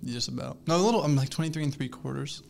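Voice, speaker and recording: Gravelly-voiced rambling young man. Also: medium pitch, fast talker, slight background noise. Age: 20s. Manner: rambling, hesitant, full of fillers and restarts; laughs easily, gets flustered when teased. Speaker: podcast co-host. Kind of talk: casual chat.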